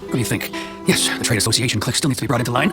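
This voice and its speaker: Guy doing a smug corrupt taxman for a game, creaky swoopy voice, music behind. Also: medium pitch, rapid-fire, natural voice. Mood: scheming, suspicious. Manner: pompous, officious. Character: corrupt, smug, self-important male tax official. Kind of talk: video game voice acting.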